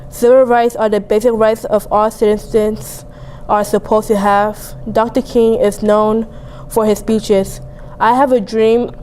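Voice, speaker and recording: A woman's voice: medium pitch, mellow, slight background noise, rough recording. A young teenage girl, a student, reading a prepared school report aloud. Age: young teen, 7th grade student. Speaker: student reader.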